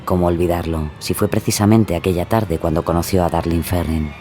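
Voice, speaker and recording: A man's voice: low voice, fairly even intonation, fairly quick, over music. A man reading dramatic scripted true-crime narration in a suspenseful storytelling manner. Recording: clear background ambience noise.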